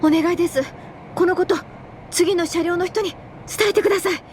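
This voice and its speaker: A woman's voice: extremely high pitch, steady tone, moderate pace, darkish tone, pitched above the performer's own voice. A woman playing a desperate young man in a radio drama, urgently pleading for help, with faint noise behind.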